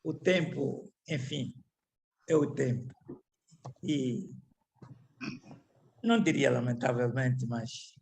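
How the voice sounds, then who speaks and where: An older Angolan man speaking Portuguese with an Angolan accent, giving a reflective, halting lecture full of restarts and repeated words. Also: mid-range voice, vocal fry, a little mellow, a little hiss or hum.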